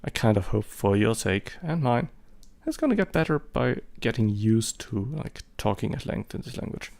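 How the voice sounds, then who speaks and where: A man's voice: lowish voice, lively intonation, moderate pace, creaky, darkish tone. A man in his thirties, a native German speaker talking in English with a German accent, giving casual, rambling commentary with many hesitations and fillers. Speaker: photography vlogger.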